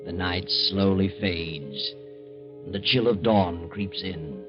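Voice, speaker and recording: An actor narrating a suspense tale as an ominous storyteller in dramatic 1940s radio style. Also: male voice, deep voice, slow. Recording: dull 1940s radio recording. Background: music.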